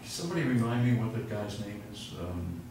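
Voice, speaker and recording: A man's voice: fairly low pitch, big pitch swings, fairly quick, background noise. An older man teaching a university physics class, telling rambling anecdotes and working equations at the board. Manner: rambling anecdotal storytelling, self-interrupting. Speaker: physics professor. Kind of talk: university lecture. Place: large lecture room with chalkboards. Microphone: far room mic. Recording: echoey lecture hall from far off.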